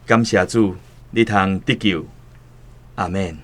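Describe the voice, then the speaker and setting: An actor playing a welcoming, pious church pastor in a radio drama, declamatory, with a Taiwanese accent. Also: male voice, low-pitched, slow pace. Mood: prayerful.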